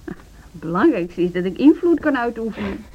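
A woman's voice: mid-range voice, sing-song, moderate pace, a little hiss or hum, lightly acted. A woman acting an independent, assertive music teacher and wife in a Dutch social radio drama. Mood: firm, indignant. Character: independent, spirited music teacher, wife standing her ground. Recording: dull, band-limited radio drama recording.

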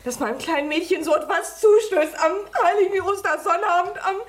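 High-voiced distraught young mother. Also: a little fast. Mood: anguished. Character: distraught, devout young mother, pleading and fragile. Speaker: actress. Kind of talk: emotional German radio drama acting.

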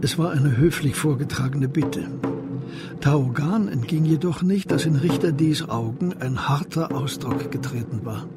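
A man narrating a scripted crime story in a measured, descriptive, literary way. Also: fairly high pitch, over loud music.

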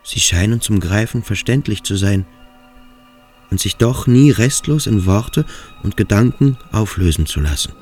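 A very deep-voiced brooding cadet. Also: male voice, expressive, unhurried, darkish tone. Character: brooding, sensitive cadet Törleß, withdrawn and moody. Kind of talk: German radio drama, inner monologue. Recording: music under the voice. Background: music.

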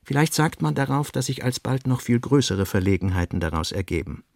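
A man reading literary prose as a radio play narrator, in a measured, deliberate, essayistic manner. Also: medium pitch, animated, vocal fry, darkish tone, no room sound, studio quality.

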